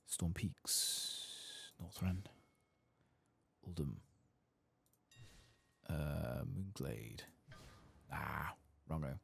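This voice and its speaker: A man softly narrating his video game in ASMR style, tired and casual, muttering to himself and drifting into whispers. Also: extremely low pitch, expressive, very slow, vocal fry, pauses often. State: tired, late at night. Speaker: host of a game playthrough. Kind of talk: soft-spoken ASMR gameplay commentary. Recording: faint background noise.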